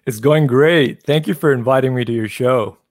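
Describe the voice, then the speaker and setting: A man's voice: medium pitch, no room sound, studio quality. Thoughtful male interview guest. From Pakistan. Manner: thoughtful, measured, many fillers. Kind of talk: casual interview storytelling.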